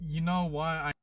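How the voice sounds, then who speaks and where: A man from South Africa speaking insistently and defensively. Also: fairly high pitch. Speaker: creator of a reacted clip.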